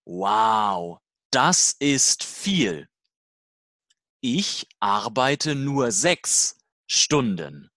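Language-course dialogue reader: very slow, deliberate delivery, lively pitch movement. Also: no echo, studio quality. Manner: slow, deliberate, simple learner-level sentences.

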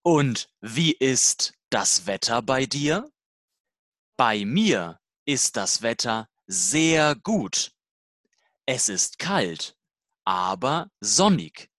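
Language-course dialogue reader: very slow, deliberate delivery, lively pitch movement. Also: no room sound, clean studio sound. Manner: slow, deliberate, simple learner-level sentences.

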